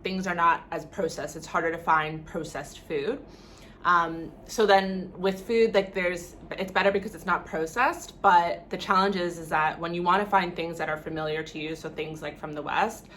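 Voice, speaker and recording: A young Canadian woman vlogger with a fairly low voice speaks casually and frankly, sharing her opinions as if chatting to viewers. Her talk is sprinkled with "like" and "you know", over noticeable background noise. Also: a bit bright.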